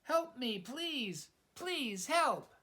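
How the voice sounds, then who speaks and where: A plaintive little balloon lady from a storybook, acted out by a male reader. She speaks in short, simple phrases at a high pitch, with an aloof air. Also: big pitch swings, very slow, sharp tone, slight background noise, full character voice. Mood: pleading. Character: aloof, plaintive female balloon mistaken for a jellyfish. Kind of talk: children's storybook character voice.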